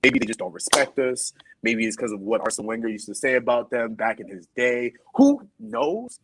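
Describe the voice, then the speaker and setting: A man vents about refereeing on a casual football panel. His voice is a touch husky and sits at a middle pitch. It is recorded dry and close. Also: big pitch swings, normal speed, fairly bright tone, no echo, low-quality recording. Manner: exasperated, repeats himself when frustrated. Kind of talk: casual heated football chat.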